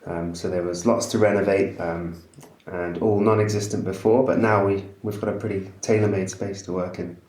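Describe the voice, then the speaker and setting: A man's voice: low-pitched, little pitch movement, normal speed, a little mellow. A man running a recording studio, a guest on a podcast, chatting in an easygoing way with frequent restarts. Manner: hesitant, many restarts, easygoing laughs. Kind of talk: casual interview chat. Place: host's house. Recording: roomy echo.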